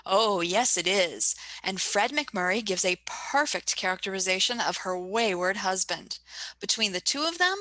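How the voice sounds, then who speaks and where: A woman's voice: mid-range voice, expressive, normal speed, pushed and bright, dry recording, poor audio. A woman playing a chatty, gushing Hollywood reporter delivering 1940s-style radio advertising patter. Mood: gushing, friendly.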